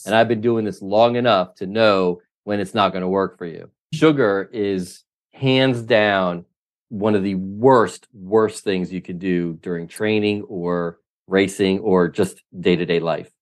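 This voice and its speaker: A man's voice: low-pitched, steady tone, a little slow. A middle-aged man, a triathlon coach, answering interview questions in an emphatic, opinionated way, often running through lists. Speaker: triathlon coach, podcast guest.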